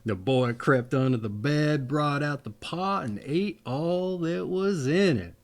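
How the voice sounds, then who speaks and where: A man reading a fairy tale aloud as a storyteller, in a theatrical, deliberate way. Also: fairly high pitch, animated.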